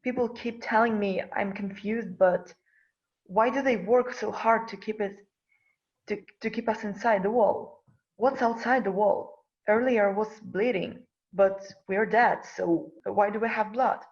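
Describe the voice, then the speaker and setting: A woman's voice: medium pitch, fairly even intonation, unhurried, natural voice. A woman in a script table read playing an anxious, curious young woman, with hesitant, questioning delivery. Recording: dull lo-fi sound.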